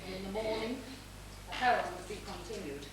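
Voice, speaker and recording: A woman's voice: mid-range voice, lively intonation, a little fast, lightly acted. A woman playing a possessive, imperious older mother in an amateur stage play, insistent and declamatory. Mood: brooding, intense. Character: possessive, imperious older mother, bitterly devoted to her son. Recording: echoey theatre stage, distant mic, noise.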